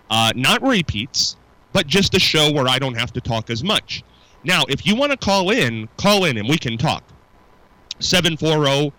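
A man's voice: medium pitch, sharp tone, no room sound, rough recording. A man hosting a local radio talk show, chatting casually and rambling with restarts.

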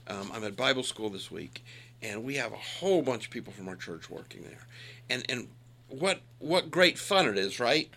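A man's voice: medium pitch, animated, normal speed, bright, forceful tone, slight background noise. A man in his sixties chatting casually with a co-host, folksy and self-deprecating, leaning into emphatic points. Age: 60s. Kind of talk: casual chat.

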